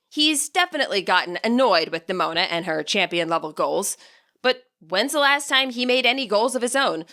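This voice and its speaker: A woman's voice: medium pitch, sharp tone. A woman reading scripted story narration expressively, as the narrator of her own audiobook. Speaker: audiobook narrator and fan fiction author.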